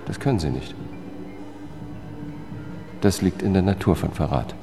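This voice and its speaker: An actor playing a cool, relentless investigator who narrates his own case in a measured radio-drama style. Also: sits low, expressive, music in the background. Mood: cold, controlled. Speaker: first-person narrator.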